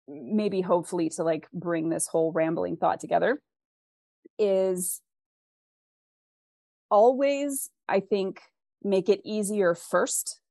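A woman's voice: on the low side, darkish tone, no echo. A woman giving thoughtful, conversational interview answers as a guest author, explaining things and acting out imagined questions.

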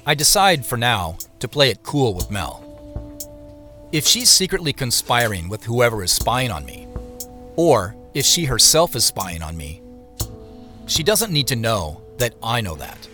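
An actor playing the inner narrating voice of a wary man, giving measured scripted narration in an audio drama. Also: medium pitch, sing-song, sharp tone, faint music behind. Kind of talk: scripted first-person present-tense narration.

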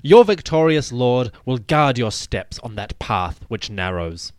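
A man's voice: medium pitch, animated, unhurried, no room sound, studio quality, close to an everyday voice. A man voicing a proud, resolute pharaoh in a dramatic reading, regal and declamatory. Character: proud, resolute pharaoh addressing his generals. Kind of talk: dramatic reading of a royal proclamation.

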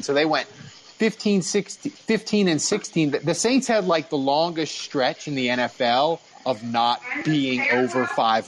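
A man hosting a casual sports talk show, telling stories in an animated, blunt way. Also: a little high, a little hiss or hum, rough recording. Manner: animated storytelling with blunt swearing. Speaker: sports podcast host. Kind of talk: casual sports fan chat. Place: remote group call on a live audio app.

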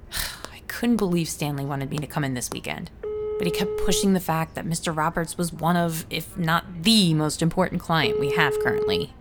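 A woman playing a working mother in an audio drama, speaking in a gentle, explanatory way. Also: sits low, moderate pace, lightly acted. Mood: weary narration. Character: apologetic working mother Jess, narrating her day. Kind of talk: scripted audio drama narration and dialogue.

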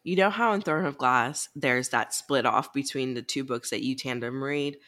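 A woman's voice: very deep voice, normal speed, fairly bright tone. A woman co-hosting a book podcast, talking casually in blunt, slangy language. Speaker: co-host of a book recap podcast.

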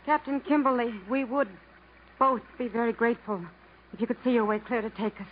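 A woman's voice: a little high, a little fast, close to an everyday voice. A woman acting in a 1950s radio drama, playing a subdued, wistful, resigned woman with a dreamy, restrained way of talking. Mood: polite. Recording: muffled, noisy 1950s radio broadcast.

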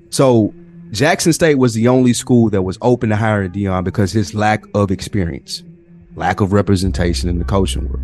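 A man's voice: fairly low pitch, darkish tone. An American man co-hosting a podcast, explaining things in an informal, conversational way. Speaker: podcast co-host. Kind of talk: casual sports talk.

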